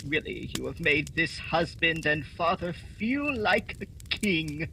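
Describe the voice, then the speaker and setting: Radio play guest role: male actor as meek courteous gentleman, raised pitch, slight rumble. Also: expressive, slow, vocal fry, heavily acted, rough recording. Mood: grateful, earnest. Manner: formal, courteous. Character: humble, grateful, courteous family man.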